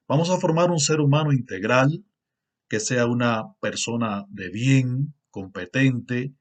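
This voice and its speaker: A middle-aged man with a Cuban Spanish accent, a university lecturer giving a formal conference talk in a measured, earnest way with pauses and fillers. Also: medium pitch. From Cuba.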